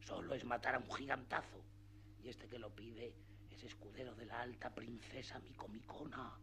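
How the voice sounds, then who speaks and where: An actor playing a humble peasant squire in a radio play, coaxing his master in a hushed, confidential whisper. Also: medium pitch, steady tone, moderate pace, background noise, lightly acted. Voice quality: breathy whisper, creaky.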